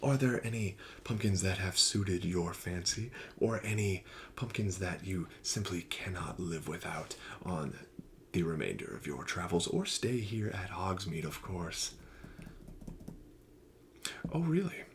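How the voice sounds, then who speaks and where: Man playing a slow, deep-voiced, courteous fantasy pumpkin seller. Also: expressive, slight background noise. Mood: courteous, helpful, then delighted. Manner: slow, courteous, flowery salesman patter. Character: very nice, courtly male pumpkin seller in a wizarding village. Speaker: ASMR roleplayer. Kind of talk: soft-spoken ASMR roleplay, partly whispered.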